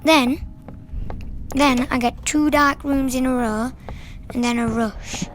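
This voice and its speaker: A woman with a croaky voice, narrating her own gameplay in a chatty, rambling way with fillers and restarts. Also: high-pitched, steady tone, slow pace, background noise. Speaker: gaming video narrator. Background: loud game music.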